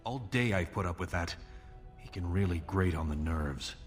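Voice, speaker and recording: An actor playing a scheming younger dwarf prince in a fantasy video game, measured, persuasive and conspiratorial. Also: male voice, low-pitched, faint music behind, close to an everyday voice. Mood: grave warning.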